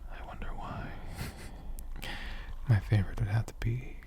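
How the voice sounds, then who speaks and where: A man in a dragon persona, whispering softly and rambling fondly as he answers viewer questions for an ASMR video. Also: on the low side, steady tone, moderate pace. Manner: soft-spoken, rambling, fond.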